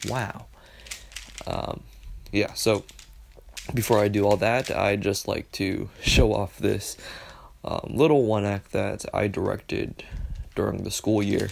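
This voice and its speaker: Very creaky, lowish young male voice, casual video introduction, faint background noise. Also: steady tone, slow, long pauses. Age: young adult. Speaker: student director and video creator.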